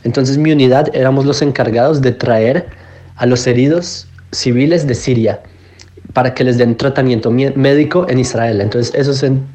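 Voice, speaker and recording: A man's voice: mid-range voice, moderate pace, dark tone. A man, giving a matter-of-fact spoken self-introduction as a podcast guest. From Colombia, Bogotá. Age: 20s. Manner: matter-of-fact, listing his life in order.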